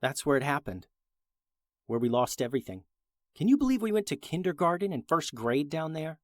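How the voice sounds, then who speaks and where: A man voicing a determined young woman who leads a space crew in a children's story, steady and grim. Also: fairly high pitch, fairly quick, no room sound. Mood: soft, pained.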